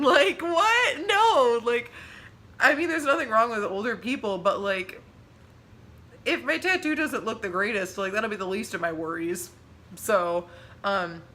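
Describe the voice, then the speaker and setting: A chatty young woman in her twenties talks straight to her viewers in a relaxed, rambling way, full of "like" and "um". Her voice is bright and a little sharp, and it leaps up when she gets excited. A faint hum of room ambience sits underneath. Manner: laughs easily, pitch jumps when excited. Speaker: vlogger. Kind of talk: casual chat to camera.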